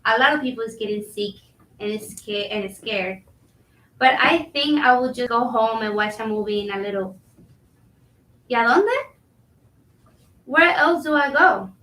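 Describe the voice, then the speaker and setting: A woman's voice: a little high, sharp tone. A woman playing a polite, dutiful immigrant kitchen cook in a staged reading, with a Latin American Spanish accent. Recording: roomy, distant microphone.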